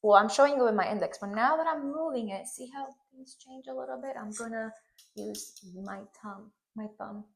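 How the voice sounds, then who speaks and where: A woman's voice: a little high, normal speed, creaky. A woman in her thirties from Puerto Rico, a Spanish speaker with a Puerto Rican accent, teaching a hairstyling tutorial and checking in often with "okay?". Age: 30s. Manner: instructive, checks in with frequent "okay?". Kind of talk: hands-on tutorial demonstration.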